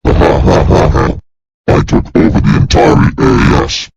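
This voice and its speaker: A man playing a gloating, menacing male swamp monster villain with a gravelly, husky voice. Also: extremely low pitch, fairly even intonation, a little slow, darkish tone, full character voice. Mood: gloating menace. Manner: menacing, taunting. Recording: dull, muffled lo-fi audio.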